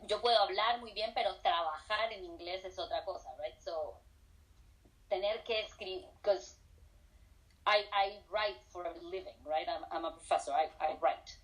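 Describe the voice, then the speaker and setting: A woman's voice: lowish voice, a little slow, poor audio. A middle-aged woman from Colombia, a professor being interviewed, switching between Spanish and English with frequent fillers. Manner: hesitant, many fillers and restarts. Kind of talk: casual interview answers.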